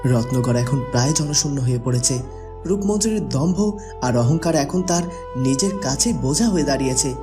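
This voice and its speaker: A man's voice: mid-range voice, lively intonation, moderate pace, music in the background, lightly acted. A man voicing an earnest, devoted young prince in a fairy-tale cartoon, speaking in a formal dramatic way. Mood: admiring.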